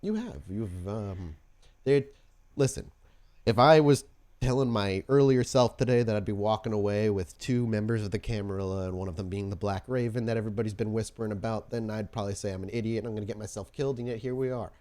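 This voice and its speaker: A man playing a blunt, wary female vampire negotiator in a tabletop game, speaking in a plain, halting, guarded way. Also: lowish voice, darkish tone, close to an everyday voice. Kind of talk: tabletop roleplay in character.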